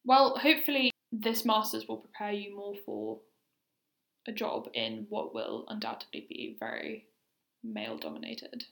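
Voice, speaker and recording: A woman's voice: medium pitch, animated, measured pace, vocal fry, a bit bright. A young woman in her twenties hosting a casual interview, asking questions in a friendly, teasing way. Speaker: podcast host. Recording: roomy echo.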